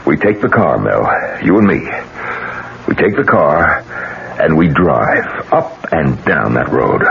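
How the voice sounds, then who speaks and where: A man's voice: extremely low pitch, very animated, takes it slowly, gravelly, full character voice. An actor in a 1970s American radio drama playing a determined, grieving self-made businessman, emphatic and pressing with rhetorical questions. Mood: grimly determined. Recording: noisy, muffled 1970s radio broadcast recording.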